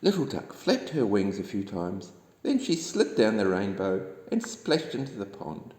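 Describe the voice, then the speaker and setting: A man reads a children's bedtime story in a gentle, expressive way. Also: fairly low pitch, measured pace. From New Zealand. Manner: gentle, expressive, addressing young listeners. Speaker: storybook reader. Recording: echoey room with long reverb.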